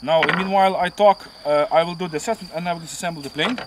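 A man's voice: sits high, rapid-fire. A man with a Slovenian accent chatting casually about his model plane outdoors on an airfield, tagging his lines with "eh?". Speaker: RC pilot. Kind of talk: casual chat. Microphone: camera mic, outdoors. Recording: outdoor noise, crickets.

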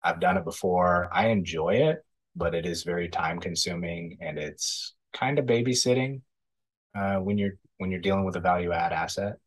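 A man in his thirties, a podcast guest, giving measured, thoughtful answers with frequent ums over a video call. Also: low voice. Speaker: podcast guest, real estate investor. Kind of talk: casual interview answers. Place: indoor room, video call.

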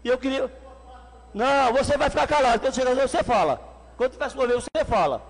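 A man in his forties with a Pernambuco accent giving an angry, shouting speech as a city councilman at a desk microphone. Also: very high-pitched, expressive, fast talker. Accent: Pernambuco Brazilian Portuguese. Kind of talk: heated council floor speech. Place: council chamber indoors. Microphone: desk microphone on stand. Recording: long reverb of a council hall.

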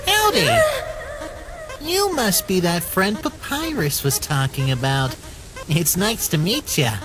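A man's voice: high voice, big pitch swings, takes it slowly, very noisy, full character voice. A man voicing a small talking flower, falsely friendly and sly, with a folksy, mocking manner in a cartoon comic dub. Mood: fake friendly.

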